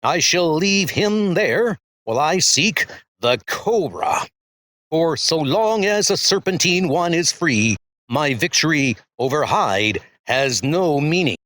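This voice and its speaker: A man's voice: fairly high pitch, lively intonation, slow, a bit bright, no echo. A man voicing a heroic, grave thunder god with lofty, formal, declamatory speech in a superhero comic dub. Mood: proud, deliberate.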